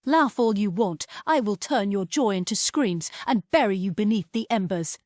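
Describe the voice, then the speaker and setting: A woman's voice: mid-range voice, lively intonation, moderate pace, darkish tone, no room sound, studio quality, natural voice. A woman acting a menacing, contemptuous villainess at a musical table read, threatening and scornful.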